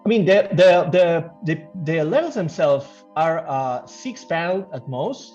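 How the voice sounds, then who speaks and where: Guy in an interview, kinda high voice, thinks out loud and repeats himself, dull audio w/ faint music under it. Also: expressive. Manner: halting, thinks aloud in repeated phrases, often checks with "right?". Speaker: game developer being interviewed. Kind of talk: casual interview answers. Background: background music.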